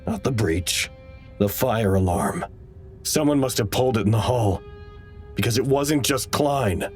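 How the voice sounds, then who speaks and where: A man acting as an anxious, earnest researcher in an audio drama, giving dramatic and deliberate log reports. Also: medium pitch, faint music behind, close to an everyday voice. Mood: grave, uneasy. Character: anxious, earnest male facility researcher narrating crisis logs.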